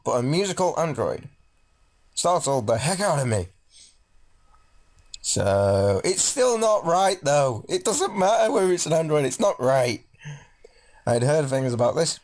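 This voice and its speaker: A man's voice: mid-range voice, lively intonation, moderate pace, darkish tone. A man doing a let's play, reading visual novel narration aloud dramatically and adding casual, jokey asides. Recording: game music behind the voice.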